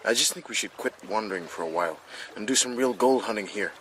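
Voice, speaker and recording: An actor playing a wry, hearty gold prospector in a naturalistic radio drama, by turns understated and exuberant. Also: male voice, fairly low pitch, fast talker, a bit bright, slight background noise, close to an everyday voice, rough recording. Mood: wry, thoughtful.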